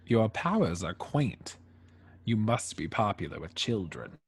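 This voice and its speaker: Sinister alien sorcerer, read by a man: husky, drawn-out, swooping disdain for a superhero script read. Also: lowish voice, sing-song, heavily acted. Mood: cold menace. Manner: slow, deliberate, menacing. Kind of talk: amateur table read of a film script.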